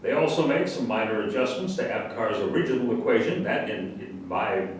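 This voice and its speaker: A man's voice: expressive, a little fast, close to an everyday voice. A man in a staged reading playing a pompous, indignant general who lectures emphatically. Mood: pompous, lecturing. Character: pompous, indignant male general lecturing pseudo-scientific nonsense. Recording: distant echoey room with faint rumble.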